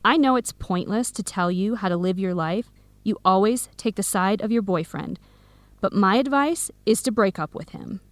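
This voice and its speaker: An actress playing an outspoken, protective young best friend in an educational radio drama, speaking frankly and emphatically. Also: female voice, medium pitch, expressive, moderate pace, dry recording, lightly acted. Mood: exasperated, insistent. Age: young adult.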